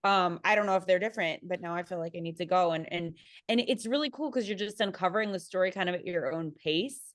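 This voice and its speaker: A woman speaking casually as a theater panelist, with a halting, informal and self-deprecating way of talking. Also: fairly low pitch, dry recording, studio quality.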